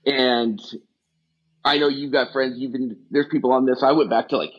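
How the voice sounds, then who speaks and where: Husky man, rapid rambling talk. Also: mid-range voice, fairly even intonation. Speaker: guest, firefighter rehab specialist. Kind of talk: casual podcast conversation. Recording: dull lo-fi audio.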